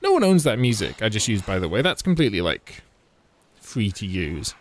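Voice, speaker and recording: A man's voice: on the low side, huge pitch swings, moderate pace. A man giving wry, mocking commentary while playing a video game. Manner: wry, mocking, chatty asides. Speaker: let's play host. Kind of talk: sarcastic gameplay commentary. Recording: game music under voice. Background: game music.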